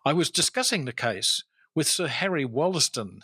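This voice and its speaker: An actor playing a shrewd, wry Victorian-era customs inspector in a radio detective drama, formal and measured as he talks and narrates his cases. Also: a little high, very animated, bright, forceful tone, breathy edge, no room sound, exaggerated voice. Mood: measured, confident.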